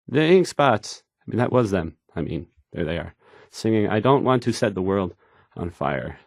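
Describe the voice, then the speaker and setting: A man's voice: mid-range voice, creaky, a little mellow, no echo, studio quality. A man acting a shy, nervous young radio DJ in a video game, stammering, hesitant and unsure of himself. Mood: nervous, apologetic. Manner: stammering, hesitant, self-doubting, trailing off. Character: painfully shy, nervous, awkward male radio DJ.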